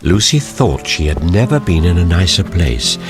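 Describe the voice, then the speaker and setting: A man's voice: sits low, big pitch swings, moderate pace, soft background music. A man narrating a children's story from a script, as the narrator of an audio drama.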